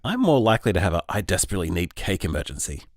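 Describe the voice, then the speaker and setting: A gentle young boyfriend , played by a man, talks in an audio drama. The voice is lowish with lots of melodic movement, recorded dry. The manner is bashful, thoughtful and a little hesitant, like casual conversation. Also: very animated, racing, no echo. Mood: wry, playful.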